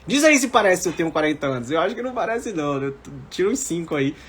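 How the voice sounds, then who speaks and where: Animated, higher-pitched Brazilian man coaching. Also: quick pace, a little hiss or hum. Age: about 40. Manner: emphatic, repeats key phrases, checks in with viewers. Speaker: mentor for civil service exam candidates. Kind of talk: motivational live-stream coaching, informal talk to viewers.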